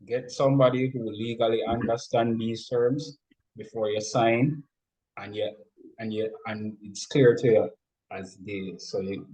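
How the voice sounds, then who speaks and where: A man's voice: lowish voice, mellow, slightly rough, rough recording. A man talking informally as an interview guest, explaining his points step by step and often repeating and rephrasing them.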